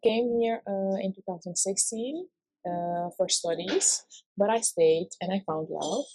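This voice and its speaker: A woman's voice: medium pitch, unhurried, dark tone, no echo, rough recording. A woman in her twenties from Suriname with a Surinamese Dutch accent, chatting openly as a vlogger and filling her talk with "like". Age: 20s. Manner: chatty, open, often says "like". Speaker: vlogger co-host. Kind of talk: casual chat.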